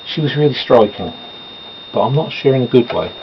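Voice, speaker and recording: A man's voice: medium pitch, lively intonation, brisk, creaky. A man chatting casually and meanderingly at home while doing his makeup, with self-deprecating asides. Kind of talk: rambling casual chat. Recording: dull lo-fi home recording with noise.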